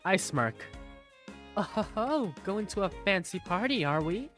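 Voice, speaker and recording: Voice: mid-range voice, sing-song, a little slow, a bit bright. A performer playing a bookish, teasing student who narrates school life in a visual novel, with music behind. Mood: playful teasing. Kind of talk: first-person visual novel narration and dialogue.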